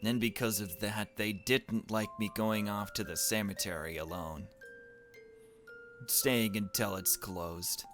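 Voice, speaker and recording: A man's voice: on the low side, fairly bright tone. A man reading a visual novel aloud as a gaming host, with joking, irreverent asides. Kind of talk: let's play, dramatic reading mixed with joking commentary.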